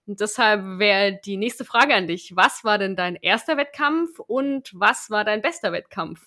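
A woman's voice: medium pitch, lively intonation, measured pace, sharp tone. A woman hosting a podcast, asking questions in a chatty, rambling way. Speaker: podcast host. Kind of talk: casual podcast chat.

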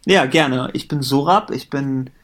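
Man, late 30s, from Germany; relaxed, rambling podcast guest. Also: medium pitch, measured pace. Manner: relaxed, chatty, many fillers and restarts. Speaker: company co-founder and managing director. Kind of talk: casual podcast interview.

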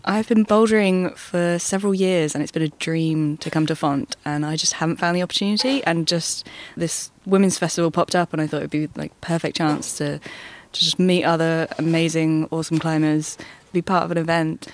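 A woman's voice: low voice, steady tone, normal speed, a little hiss or hum. A woman from Bristol giving a casual interview answer in an earnest, passionate way. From Bristol, England. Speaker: festival participant.

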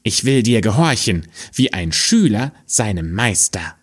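A man voicing a sly male fox in a fairy tale, speaking in a flattering, sing-song way. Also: fairly low pitch, measured pace, husky, full character voice. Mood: wheedling. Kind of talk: storybook voice acting.

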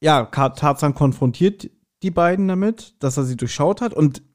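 A man's voice: on the high side, animated, normal speed. A man co-hosting a podcast, recapping a story in casual, chatty conversation. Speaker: podcast co-host. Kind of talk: casual chat recapping an audio drama episode.

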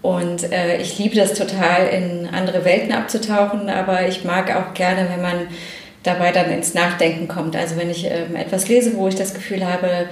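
A woman press spokesperson speaking as an interview guest, a little low in pitch. She answers reflectively, with many small fillers. The room around her gives a clear echo. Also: level tone, moderate pace. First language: German. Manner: thoughtful, fluent with frequent fillers. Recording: echoey room.

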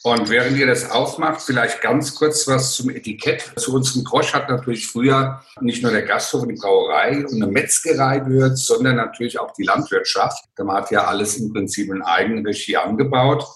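A man from Hesse, a guest brewer and innkeeper, chatting and telling stories in a relaxed way. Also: mid-range voice. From Hesse, Germany. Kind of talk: casual chat. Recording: roomy echo.

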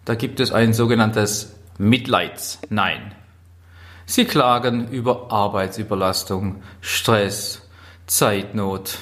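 A man with a Swabian German accent gives an instructional talk as a trainer, phrasing deliberately and stressing key words. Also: fairly low pitch, a bit bright. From Swabia, southwest Germany. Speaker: trainer, speaker. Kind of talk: instructional talk on workplace skills.

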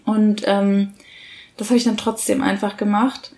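A woman's voice: medium pitch, little pitch movement, measured pace, creaky, mellow. A woman in her thirties chatting casually and colloquially as a podcast co-host. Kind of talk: casual conversation about parenting.

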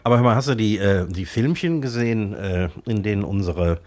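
A man's voice: fairly low pitch, a little fast, a little mellow. A man co-hosting a podcast with a stuffy nose from a cold, chatting hesitantly with lots of filler sounds from a cold tent studio. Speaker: podcast co-host. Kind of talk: casual chat.

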